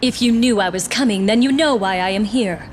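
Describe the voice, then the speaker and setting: An actress playing a sorrowful, dignified young royal in a fantasy game, earnest and formal. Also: female voice, mid-range voice, brisk, long reverb tail, a little hiss or hum, close to an everyday voice. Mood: resolute. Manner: earnest, formal, archaic phrasing. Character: sorrowful, dignified young royal woman, gentle but resolute. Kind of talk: theatrical fantasy game drama.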